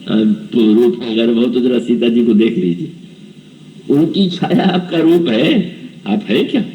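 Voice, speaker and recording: Slow, mellow older man preaching. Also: mid-range voice, lively intonation, distant mic, dull audio, a little hiss or hum. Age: 70s. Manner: storytelling, voices characters' dialogue and chants verses. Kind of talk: devotional scripture discourse.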